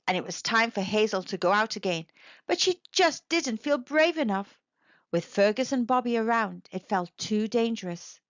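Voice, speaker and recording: A woman narrates a children's story in an expressive read-aloud style. Her voice sits fairly high and swoops with lively intonation. The recording is dry and slightly dull. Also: no echo.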